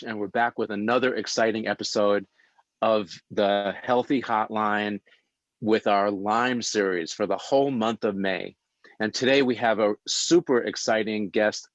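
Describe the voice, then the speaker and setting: An upbeat, promotional man hosts a health show and introduces his guest with a lot of enthusiasm. His voice sits a little low. The recording is dull and lo-fi, with a dry, close sound. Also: dry recording. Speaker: show host. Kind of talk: podcast interview intro.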